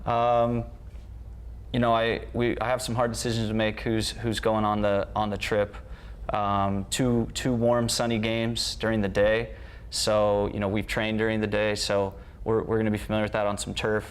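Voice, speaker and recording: A man's voice: lowish voice, little pitch movement, normal speed, clear, resonant tone. A man speaking as a coach in a conversational interview. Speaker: soccer coach.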